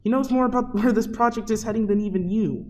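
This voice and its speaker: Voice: medium pitch, fast talker, close to an everyday voice. A performer playing an apologetic scientist father in a radio play, earnest, pleading and conciliatory. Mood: explaining.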